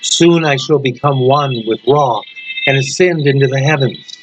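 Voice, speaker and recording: A man's voice: mid-range voice, normal speed, natural voice. A man voicing a solemn, ancient mummified pharaoh with archaic, declaiming speech in a horror story. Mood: awed. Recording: dull lo-fi recording with loud background noise.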